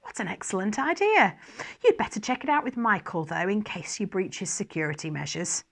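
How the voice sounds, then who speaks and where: A woman voicing a confident, teasing male archangel with a brisk, dismissive manner in a story. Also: medium pitch, animated, gravelly. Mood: teasing, excited.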